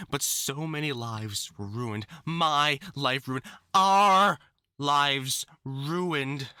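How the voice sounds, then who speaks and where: A man's voice: mid-range voice, sharp tone, clear, resonant tone, no room sound, clean studio sound, heavily acted. A man acting a lovesick, obsessive supervillain scientist with formal, theatrical diction in a dramatic audio roleplay monologue. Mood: anguished, bitter. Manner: formal, theatrical diction, tender endearments breaking into anguished outbursts.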